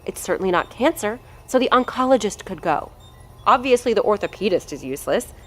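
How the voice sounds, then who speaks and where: A woman's voice: medium pitch, expressive, a little fast, fairly bright tone, soft background music. Woman acting haughty, callous scientist. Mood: scornful, impatient. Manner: haughty, cutting, emphatic. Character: arrogant, callous, impatient female research doctor in charge. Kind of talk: scripted audio drama dialogue.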